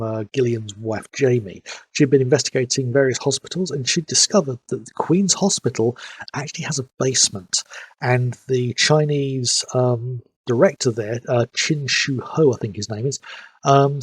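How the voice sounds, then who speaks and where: A man's voice: medium pitch, husky, no echo. A man, sniffly from hay fever, chatting casually as he streams a game and recaps the story. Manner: rambling, fills pauses with "um". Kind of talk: casual live-stream commentary.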